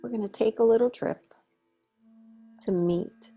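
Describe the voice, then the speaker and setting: A woman leading a guided meditation in a gentle, unhurried way, pausing between short phrases. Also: fairly high pitch, highs cut off, faint music behind. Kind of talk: guided meditation, slow soothing instruction.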